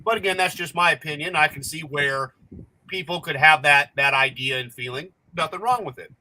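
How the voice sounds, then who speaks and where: A man's voice: on the high side, fairly quick, crowd noise, rough recording. A man hosting a casual group chat, giving blunt opinions with crude humor.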